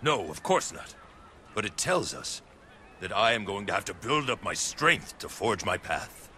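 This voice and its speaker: An actor playing a proud, ambitious warlord of ancient China in a game cutscene, speaking gravely in measured, oratorical phrases. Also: male voice, lowish voice, big pitch swings, pushed and bright, slight background noise, full character voice. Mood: grave, wary. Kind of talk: dramatic video game cutscene acting.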